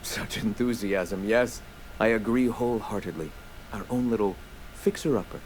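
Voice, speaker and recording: An actor voicing a genteel, eccentric rebel leader in a video game, speaking in a wordy, theatrical, idealistic way. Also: male voice, lowish voice, normal speed, a little hiss or hum, close to an everyday voice, low-quality recording. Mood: pleased, inviting. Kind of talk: video game dialogue acting.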